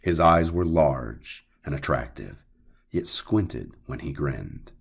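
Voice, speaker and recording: A man narrating a dark audiobook tale in a gravelly, raspy voice, brooding and measured, with deliberate pauses. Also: extremely low pitch, lively intonation. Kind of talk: dramatic scripted horror narration.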